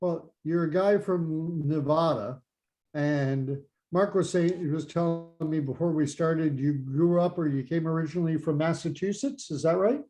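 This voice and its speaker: A man co-hosting a podcast and interviewing a guest. His voice sits a little higher than average. He asks his questions in halting fits and restarts them often. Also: rough recording. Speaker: podcast co-host.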